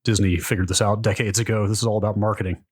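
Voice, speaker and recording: A male podcast co-host with a slightly low voice. He banters casually and teases with dry humor. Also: level tone, racing, slightly rough.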